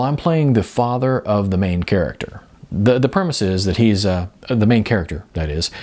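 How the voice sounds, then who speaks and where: A man voicing a modest, good-natured middle-aged amateur actor who speaks haltingly, in a storybook reading. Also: sits low, darkish tone. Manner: halting, hesitant, with ums and stumbles.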